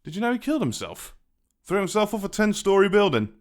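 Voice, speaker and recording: A man's voice: sits high, very animated, fast talker. A man playing a menacing, mocking British crime boss in an audio roleplay, with a taunting, conversational way of threatening. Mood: cold storytelling. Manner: taunting, theatrical, conversational threats with sardonic chuckles. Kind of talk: dramatic audio roleplay monologue.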